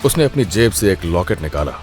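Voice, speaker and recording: A man's voice: low-pitched, big pitch swings, brisk, darkish tone, faint music behind. A man narrating a scripted audio drama story in a dramatic style.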